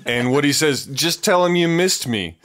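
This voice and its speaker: A man co-hosting a casual movie podcast, adding low-key dry asides. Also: animated, normal speed, noisy background. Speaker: podcast co-host. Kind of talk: casual movie recap chat.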